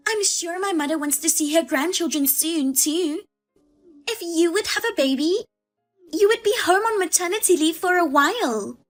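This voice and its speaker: A woman doing a shrill scornful sister-in-law. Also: lively intonation, moderate pace, faint music behind. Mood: indignant. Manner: scornful, loud and accusing. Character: shrill, demanding female sister-in-law, a mother.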